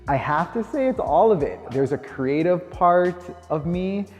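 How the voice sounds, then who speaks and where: A man in his thirties with a Canadian accent, speaking informally and reflectively as if answering interview questions, pausing and rephrasing as he goes. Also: a little high, expressive, darkish tone. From Winnipeg, Canada. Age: 30s. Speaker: dance teacher. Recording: long reverb, music underneath. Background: music.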